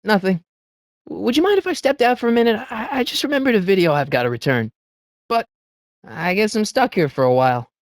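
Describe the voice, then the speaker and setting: A man's voice: high-pitched, big pitch swings, a little fast, dry recording, clean studio sound. A man playing an earnest, anxious American teenage boy in a video game, with a hesitant, stammering way of talking to himself. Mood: awkward, uneasy. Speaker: voice actor. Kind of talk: video game character voice acting.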